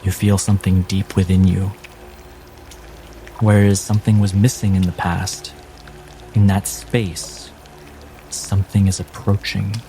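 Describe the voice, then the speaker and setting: A man game master narrating a tabletop game dramatically, with deliberate, suspenseful pauses. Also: low-pitched, flat delivery, dark tone, slight background noise, low-quality recording. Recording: music behind the voice. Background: music.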